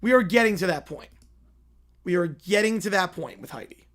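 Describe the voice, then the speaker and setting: A man with a worn, hoarse voice calling college football play-by-play live on a stream, talking to chat between plays. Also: high-pitched, normal speed. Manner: excited, rising commentary on big plays, quieter asides to chat.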